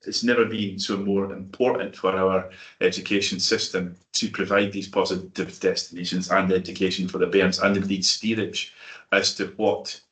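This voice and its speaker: A man's voice: deep voice, level tone, moderate pace, fairly bright tone, roomy sound. A man with a North-East Scots accent whose first tongue is Doric, speaking earnestly as a councillor at a committee meeting. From North-East Scotland.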